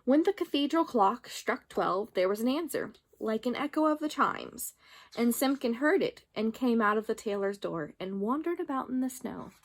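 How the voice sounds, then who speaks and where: High-pitched expressive woman storyteller. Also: moderate pace. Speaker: children's storyteller. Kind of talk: reading a storybook aloud.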